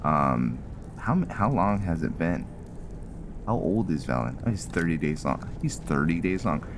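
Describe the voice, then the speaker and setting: A man hosting a gaming video with casual, rambling, halting commentary over the game. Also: very deep voice, sing-song, noisy background. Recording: clear game ambience behind voice. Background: game sounds.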